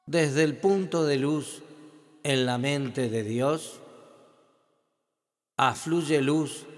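A man leading a group in guided meditation and invocation, solemn and deliberate, giving instructions in short measured phrases. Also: mid-range voice. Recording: long hall reverb, music behind.

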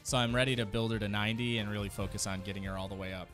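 A man's voice: on the low side, fairly even intonation, very fast, a bit bright. A man in his thirties giving casual, enthusiastic reaction commentary into a close studio mic. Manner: enthusiastic, exclamatory. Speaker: streamer reacting to game trailers. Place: small home studio room. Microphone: close boom studio mic. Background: game trailer music.

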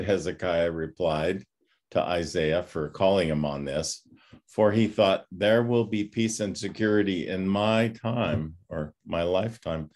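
An older man in his sixties, a pastor giving an informal class talk over a video call, reflective and halting with many pauses. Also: deep voice, a little mellow. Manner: halting, reflective, often pausing. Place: home study with bookshelves, on a video call. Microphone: computer microphone on a video call.

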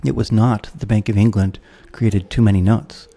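A man in his thirties with an American accent, a guest on a podcast interview who explains history in a measured, lecturing way over a video call. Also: fairly low pitch, fairly even intonation, vocal fry, warm tone. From United States. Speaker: guest philosopher. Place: room at home with guitars. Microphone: studio mic with headphones, video call.

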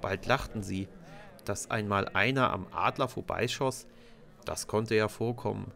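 A man's voice: on the low side, a little slow, gravelly, a bit bright. A man narrating a scripted children's story as a storyteller.

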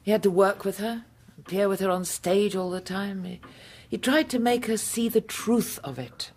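An actress playing a proud, composed middle-aged widow in a radio drama, husky and measured. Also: female voice, medium pitch, darkish tone. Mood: disdainful. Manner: measured, haughty. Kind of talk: British radio drama acting.

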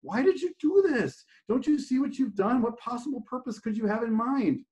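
A man's voice: very high-pitched, lively intonation, normal speed, voice pushed up. A man voicing a frustrated young man on a bridge, strained and raising his voice over the edge. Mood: exasperated. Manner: strained, shouting, exasperated. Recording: dull lo-fi recording.

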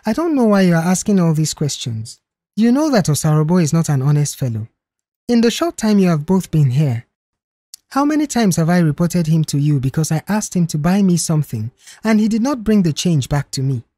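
A man's voice: on the high side, very animated, a little fast, dark tone, no room sound, studio quality. A man voicing a young female office cashier in an audiobook, defensive and explanatory in manner. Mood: indignant. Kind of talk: audiobook character dialogue.

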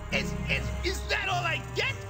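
A woman voicing a greedy, indignant snake who stammers and complains in a children's animated story. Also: very high voice, normal speed, exaggerated voice. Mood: indignant, whining. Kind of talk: children's cartoon storybook acting. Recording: loud music under the voice.

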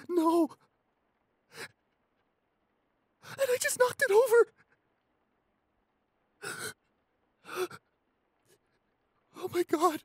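A man's voice: very high-pitched, no echo. A man playing a shy, anxious young boyfriend in an audio roleplay, tearful and distraught, stammering and frantically repeating himself. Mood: horrified panic.

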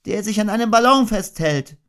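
A man's voice: high-pitched, lively intonation, moderate pace, fairly bright tone, voice pushed up. A man putting on a young boy's voice in a storybook reading, giving plain, matter-of-fact replies. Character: kind, sensible young boy.

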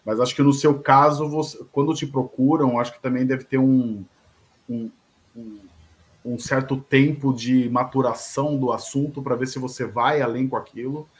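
A man's voice: mid-range voice, steady tone, normal speed. A middle-aged man hosting an interview over a video call from a room at home, asking long questions and restarting his words as he goes. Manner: hesitant, restarts mid-question. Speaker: interview host. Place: home room with bookshelves, indoors.

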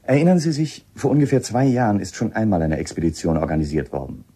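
A man's voice: lowish voice, fast, warm tone, husky. A man acting a shrewd, polite police inspector in a radio drama, speaking in a probing, matter-of-fact, slightly hesitant way. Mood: insistent.

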